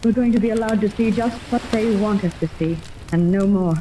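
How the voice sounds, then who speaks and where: A woman's voice: medium pitch, a little fast, close to an everyday voice. An actress playing a level-headed female companion in a 1930s radio adventure serial. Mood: cautioning, puzzled. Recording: distant, noisy old 1930s radio.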